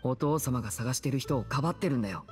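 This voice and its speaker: A man playing a composed teenage older brother in an anime-style game, measured, serious and questioning, with music behind. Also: medium pitch, animated, a little mellow, natural voice. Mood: stern. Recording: studio voice over game music. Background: background music.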